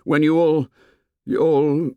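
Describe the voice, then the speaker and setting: A man's voice: a little high, flat delivery, slow pace, clean tone, no room sound, narrow, tinny audio, pitched above the performer's own voice. A man voicing an earnest, commanding schoolboy leader in an audiobook, speaking in short emphatic phrases. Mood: grave. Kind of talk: audiobook character voice.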